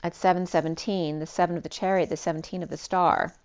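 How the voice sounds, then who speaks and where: Woman tarot reader, smooth lowish voice, slightly hoarse, rambling, muffled lo-fi sound. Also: steady tone, fast, darkish tone. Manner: rambling, free-associating, many restarts. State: losing her voice. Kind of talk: spiritual tarot reading.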